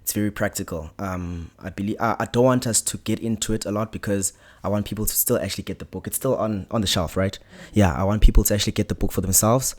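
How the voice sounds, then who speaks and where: Young south african guy hosting a podcast, lowish voice, lots of ums and restarts. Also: fast talker, hardly any pauses. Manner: hesitant, many ums and restarts, soft-spoken. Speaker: podcast host.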